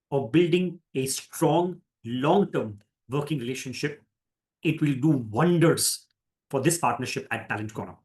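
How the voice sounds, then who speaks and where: Emphatic Indian man, higher-pitched voice. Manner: deliberate, emphatic, repeats phrases for emphasis. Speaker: managing director leading an orientation. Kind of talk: orientation talk to new franchise partners.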